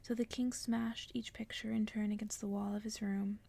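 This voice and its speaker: Hushed, whispering ASMR woman with creaky edges. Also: medium pitch, flat delivery, moderate pace. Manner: hushed, soft, mostly whispering. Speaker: ASMR creator reading stories to listeners. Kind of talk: whispered ASMR bedtime story reading with casual asides.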